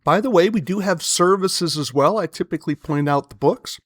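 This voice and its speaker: Hoarse man with a cold, dry sound. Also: high-pitched, normal speed, dry recording. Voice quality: raspy. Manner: chatty, with many fillers and asides. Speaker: podcast host. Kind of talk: casual educational podcast talk.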